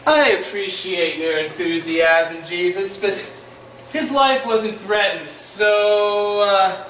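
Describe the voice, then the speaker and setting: A man's voice: sits high, takes it slowly. A young man as a grumpy scheming Pharisee. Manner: plotting, hesitant, deadpan. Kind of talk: amateur class skit acting. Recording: roomy distant noisy home video.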